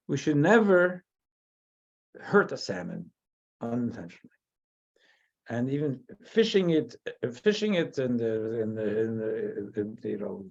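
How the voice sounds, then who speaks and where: Halting American rabbi, dull lo-fi sound. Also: male voice, a little high, gravelly, a little mellow. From New Jersey, USA. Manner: halting, many restarts and repeated words. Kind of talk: teaching a Torah class.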